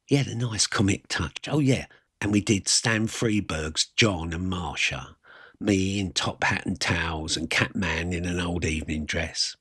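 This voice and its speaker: A man with a husky voice, telling a first-person story in a wry, colloquial, self-deprecating way. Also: low voice, a bit bright, dry recording, clean studio sound. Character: wry working-class South London man reminiscing about his youth.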